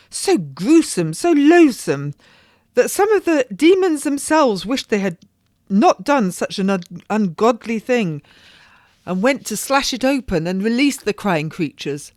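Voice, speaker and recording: A woman voicing an agitated genteel noblewoman who gossips and exclaims in high, theatrical bursts. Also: very animated, measured pace, darkish tone, no room sound, studio quality. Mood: horrified. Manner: rising, theatrical, emphatic outbursts.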